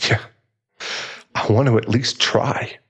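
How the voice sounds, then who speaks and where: A man's voice: mid-range voice, fairly even intonation, slow pace, a bit bright, husky, heavily acted. Casting: male actor as remorseful bully, now a divorced dad; stammering, emotional, audio-drama delivery. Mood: weary, frustrated. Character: troubled divorced father, a remorseful bully in therapy. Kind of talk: dramatic audio roleplay acting.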